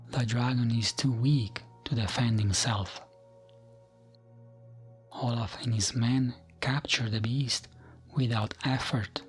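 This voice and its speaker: Slow, hushed man reading ASMR bedtime lore. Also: medium pitch. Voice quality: soft, breathy, often near whisper. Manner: gentle, soothing, unhurried storytelling.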